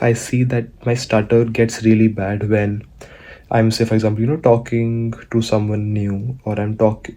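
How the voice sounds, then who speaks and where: A man's voice: lowish voice, mellow. A young man from India, talking casually to the camera in a vlog, with many fillers and restarts. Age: young adult. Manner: conversational, frequent restarts and fillers. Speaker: vlogger. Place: outdoors, walking.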